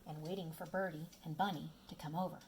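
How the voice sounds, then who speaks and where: A woman's voice: low voice, fairly even intonation, fast, a little mellow, a little hiss or hum, poor audio. A woman narrating a scripted children's puppet story.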